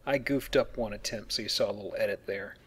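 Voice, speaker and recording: A man's voice: on the low side, quick pace, breathy edge. A man giving casual, joking commentary on a video game as he plays and explains it. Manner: joking, colloquial. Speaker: video game let's player.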